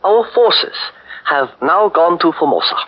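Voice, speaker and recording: An actor playing a cold, hard-bargaining male statesman in a 1940s radio drama, speaking in a measured, unyielding way over an old broadcast recording. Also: fairly high pitch, very animated, dull audio. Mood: cold, firm. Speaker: Marquis Ito.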